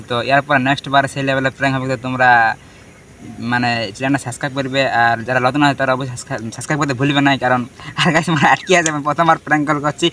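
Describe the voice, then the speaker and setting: Fast-talking man with a bright voice. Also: medium pitch, a little hiss or hum. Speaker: prank-call vlogger, host. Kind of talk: casual chat to viewers and on a phone prank.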